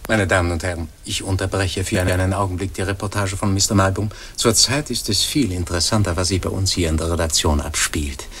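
An actor playing a formal newsroom announcer in a 1940s radio play, addressing the listeners in an orderly manner. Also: male voice, sits low, fairly quick, few pauses, a bit bright. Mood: formal, urgent. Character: formal male newsroom announcer breaking into a broadcast. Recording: noisy 1940s radio recording.

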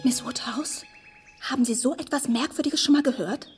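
A woman playing a naive, chatty, wide-eyed young woman in a period radio drama, gushing and confiding in a very breathy voice. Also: high voice, normal speed, soft background music. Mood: astonished. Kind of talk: radio drama acting of a literary classic.

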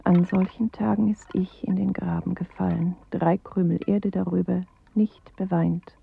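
Weary wry woman, heavy creak, slow poetic delivery, thin phone-like radio sound. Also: on the low side, flat delivery, slight background noise. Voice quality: husky, gravelly. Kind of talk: poetic radio drama monologue. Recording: muffled, phone-like 1980s radio recording.

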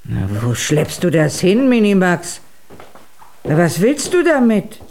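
A woman's voice: on the low side, very animated, takes it slowly, darkish tone, exaggerated voice. An older actress playing a loving, worried, pleading grandmother in a German children's radio play. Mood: curious, suspicious. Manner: fretful, pleading.

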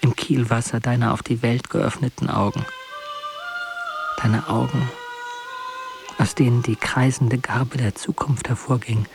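An actor playing a tender, wounded lover in a poetic radio drama, speaking in hushed, intimate, halting phrases. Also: male voice, mid-range voice, steady tone, gravelly, over music. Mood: tender, melancholy. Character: tender, wounded lover addressing his beloved Sarah.

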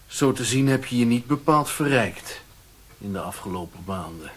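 An actor playing a fat, shady Chinese merchant in a radio play, mocking and philosophical, with a deliberate, taunting way of talking. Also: male voice, medium pitch, expressive, a bit bright, husky. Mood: commanding, sly. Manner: sardonic, taunting, deliberate, given to aphorisms.

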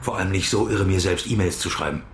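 An actor plays a brusque author under suspicion in a naturalistic radio drama. His voice is low, and he talks in a curt, defensive, dry way. The sound is roomy, with clear ambient noise around him. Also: quick pace, lightly acted.

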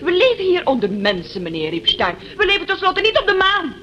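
A woman's voice: very high voice, huge pitch swings, brisk, a bit bright, heavily acted. An actress playing a prim, self-righteous young woman in a Dutch radio play, haughty and disapproving. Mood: reproachful, haughty. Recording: dull, lightly noisy old radio recording.

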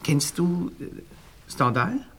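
An actor playing an ardent, nervous young Viennese gentleman in a radio drama, pleading effusively and haltingly with an Austrian accent. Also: fairly high pitch, big pitch swings, husky, exaggerated voice, poor audio. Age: young adult.

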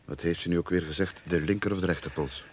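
An actor playing a bossy, irritable grave robber in a radio play, barking sarcastic orders and cursing. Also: male voice, low voice, quick pace, slight background noise, close to an everyday voice. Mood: impatient. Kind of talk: Dutch-language radio play acting.

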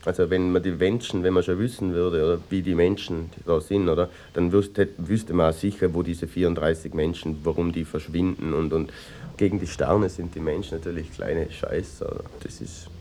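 An actor as a deep-voiced, halting Vorarlberg brooder. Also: male voice, steady tone, mellow, lightly acted. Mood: earnest, musing. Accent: western Austrian, Vorarlberg. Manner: halting, rambling, ends phrases with a questioning tag. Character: brooding, obsessive small-town man, earnest amateur thinker. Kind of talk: naturalistic mock-documentary radio drama.

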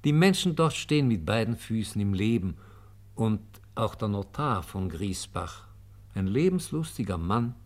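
A man narrating a scripted radio biography in a sober, measured, documentary-like manner. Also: fairly low pitch, sing-song.

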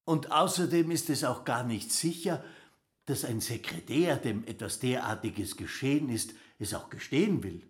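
A man in a German radio drama playing an official who speaks in a deliberate, confiding manner. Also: mid-range voice, expressive, natural voice. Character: male official confiding absurd nocturnal possibilities.

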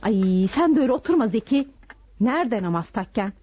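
Fast, bossy, fussing mother. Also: fairly high pitch, animated, dark tone, husky. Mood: bossy, hurried. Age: middle-aged. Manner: nagging, hurried. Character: bossy, fussing middle-aged mother and wife. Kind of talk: radio theatre acting. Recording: dull lo-fi radio recording.

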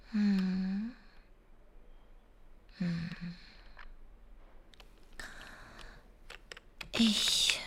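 A lonely, bitter woman in a radio drama, speaking very slowly at a medium pitch. Her delivery is hushed and halting, with faint noise behind it. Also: creaky. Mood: lonely, longing. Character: lonely, bitter, brooding woman addressing her absent brother. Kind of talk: intimate German radio drama monologue.

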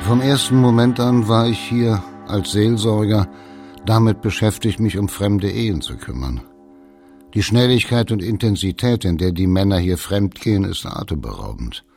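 An actor playing a wry German pastor, telling anecdotes in clipped sentences in a radio drama. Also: male voice, fairly low pitch, expressive, moderate pace, a little mellow, soft background music. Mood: wry, matter-of-fact. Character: wry male German pastor among expatriates, blunt and amused. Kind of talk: documentary radio drama monologue.

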